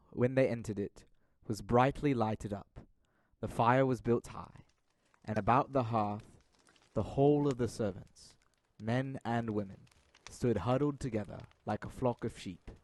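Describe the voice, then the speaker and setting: A man's voice: medium pitch, a little mellow. A man reading scripted narration for an audio drama as announcer and storyteller.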